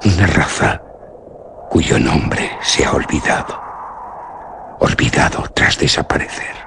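A very deep, haunted, brooding wanderer. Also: male voice, animated, gravelly, background noise, heavily acted. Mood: hushed dread. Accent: Castilian Spanish. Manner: brooding, hushed, halting, with dramatic pauses. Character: haunted, feverish man lost among ancient graves. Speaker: radio drama actor. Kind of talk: dramatic radio theatre monologue.

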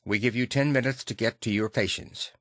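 A man's voice: medium pitch, brisk, fairly bright tone, no room sound, natural voice. A man voicing a grave, commanding Victorian lawyer in an audiobook, formal and decisive.

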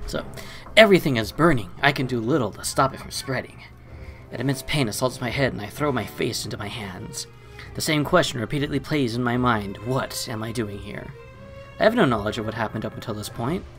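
A man's voice: medium pitch, animated, fairly quick, a bit bright, faint music behind. A man hosting a let's play, mixing casual game commentary with reading story text aloud.

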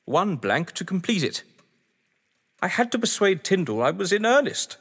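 A grandiose, sardonic gentleman of letters, played by a man in an audio drama. His voice sits high and swoops freely through eloquent, defensive speeches, ringing with long reverb. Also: very animated, normal speed, husky, full character voice. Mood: coolly defensive. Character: haughty, theatrical games-obsessed male writer, defensive under suspicion. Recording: long echoing hall reverb.

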